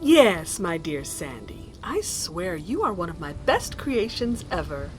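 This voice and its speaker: A woman's voice: fairly low pitch, sing-song, a little slow, soft background music. A woman playing a vengeful, doting sorceress in a fantasy audio drama, theatrical and sweetly sinister. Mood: doting, gloating. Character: vengeful, doting evil sorceress who forges cursed weapons.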